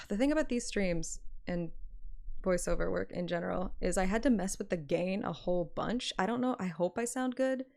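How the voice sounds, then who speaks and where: A woman chatting casually on a livestream in an animated, self-deprecating and sometimes sweary way. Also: mid-range voice, moderate pace, creaky. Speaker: live streamer and amateur voice actor. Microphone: microphone at adjustable close distance.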